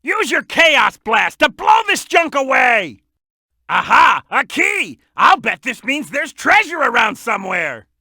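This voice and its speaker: A woman voicing an excitable, cheeky little boy animal sidekick in a video game, with a childlike voice and eager, emphatic delivery. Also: high-pitched, a little slow, creaky, dry recording, shouting, clean studio sound, heavily acted. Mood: eager, instructive. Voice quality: youthful, childlike. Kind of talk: video game character hint lines.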